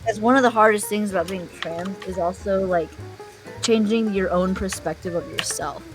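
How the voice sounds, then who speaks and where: A young woman chatting candidly on a live stream, often trailing off softly at the ends of her sentences. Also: mid-range voice, normal speed, music in the background. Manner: candid, soft-spoken, trailing off quietly. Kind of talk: casual chat on a live stream.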